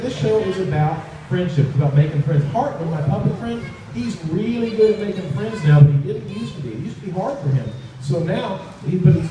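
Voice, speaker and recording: High-voiced man in a very echoey room. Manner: repeats phrases, teasing build-ups to the audience. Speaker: children's puppet show magician and host. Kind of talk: live children's magic show patter. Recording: echoey, noisy, distant live recording.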